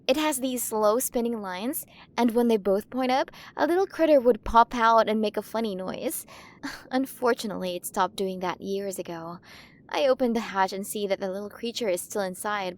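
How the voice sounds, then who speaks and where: A fairly high-pitched alien queen played by a woman speaks straight to her human in an ASMR roleplay. She is ancient yet full of playful wonder, doting and possessive, with a gentle condescension toward the small creature she keeps. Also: normal speed, natural voice. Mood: excited, curious. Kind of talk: ASMR roleplay, acted monologue to the listener.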